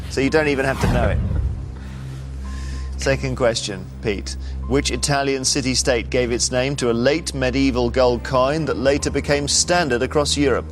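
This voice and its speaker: A man's voice: mid-range voice, fairly even intonation, moderate pace, soft background music. A man hosting a TV quiz show, reading questions and teasing contestants with dry banter.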